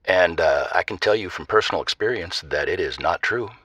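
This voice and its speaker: Smug flirty guy phoning a radio play, rock-bottom pitch, rough-edged, hesitant innuendo. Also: expressive, brisk, a bit bright, no echo, exaggerated voice. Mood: smug, catty.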